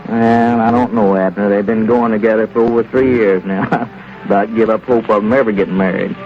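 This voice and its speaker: An actor playing a plain-spoken country friend with chuckling asides in a 1930s radio comedy. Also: male voice, fairly low pitch, fairly even intonation, a little fast, a little hiss or hum, close to an everyday voice. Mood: friendly. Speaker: townsman Dick. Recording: lo-fi old radio broadcast.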